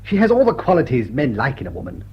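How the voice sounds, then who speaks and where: An actor playing a jolly, enthusiastic upper-class gentleman, an amiable old-boy type in a period radio drama, on a muffled recording. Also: medium pitch, wildly swooping pitch, fast talker, breathy edge, full character voice. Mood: hearty, admiring. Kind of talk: period drawing-room radio drama acting. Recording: dull, muffled lo-fi sound.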